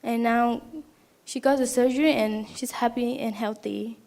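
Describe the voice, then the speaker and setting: A woman's voice: on the high side, steady tone, normal speed, vocal fry, darkish tone. A seventeen-year-old girl raised in Thailand, giving a short, plain introduction in simple non-native English as a student speaker. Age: teens.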